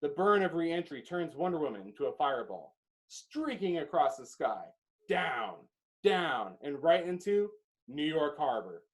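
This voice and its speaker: A man reading screenplay directions as dramatic narration, in emphatic clipped phrases with pauses. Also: a little high, big pitch swings.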